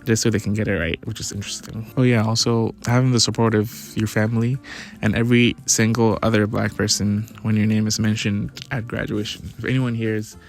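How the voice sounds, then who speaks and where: Young guy from ghana chatting to camera, low even voice, laid back, soft music behind. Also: brisk, creaky. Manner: relaxed, chatty, with many restarts and fillers. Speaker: vlogger. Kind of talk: casual talk to camera.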